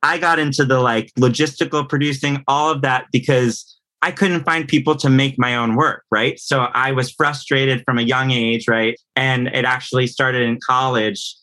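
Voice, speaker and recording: A man's voice: mid-range voice, steady tone, normal speed, sharp tone. A man in his thirties talking casually as an interview guest, explaining things and often checking in with the listener. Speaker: composer and audio producer guest.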